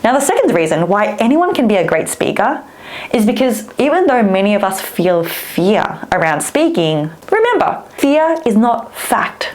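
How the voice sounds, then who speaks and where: An upbeat woman speaking coach talks straight to the camera in a chatty, expressive way. Her first language is Vietnamese, and her voice sits at a middle pitch for a woman. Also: normal speed. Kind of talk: direct-to-camera coaching talk.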